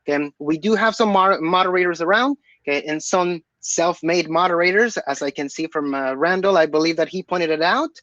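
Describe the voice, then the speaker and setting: A man's voice: fairly high pitch, brisk, hardly any pauses, a bit bright, no echo, lo-fi sound. A man co-hosting an online developer event over a video call, friendly, with a frequent "okay" and "absolutely". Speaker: co-host. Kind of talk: event hosting, housekeeping announcements.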